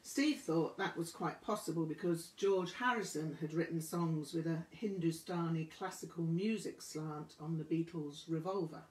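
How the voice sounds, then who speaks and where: A woman reading a memoir aloud as scripted narration, with measured, deliberate phrasing. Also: deep voice, darkish tone. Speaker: audiobook reader. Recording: roomy, echoing room sound.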